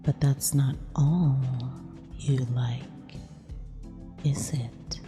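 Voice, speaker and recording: A woman playing a mean, possessive eldritch empress in a half-whispered ASMR roleplay, condescending yet affectionate, with a breathy, creaky delivery. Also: a bass voice, fairly even intonation, heavily acted. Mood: smug, seductive. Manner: slow, deliberate, condescending purr. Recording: long reverb with music underneath.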